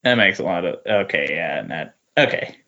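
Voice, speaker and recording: A man's voice: low voice, expressive, brisk, creaky, pushed and bright. A man chatting casually as a podcast guest, hesitant and often trailing off, on a dull, lo-fi recording. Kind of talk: casual chat. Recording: dull, lo-fi sound.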